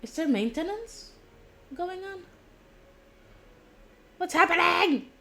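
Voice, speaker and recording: A woman live-streaming a game, reacting bluntly and excitably with plenty of swearing. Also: extremely high pitch, slow pace, creaky.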